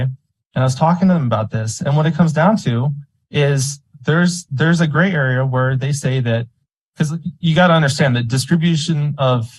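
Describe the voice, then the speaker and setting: A man's voice: medium pitch, low-quality recording. A man speaking as a member of the public at a meeting, argumentative and indignant, making his case in a public comment. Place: school board meeting room.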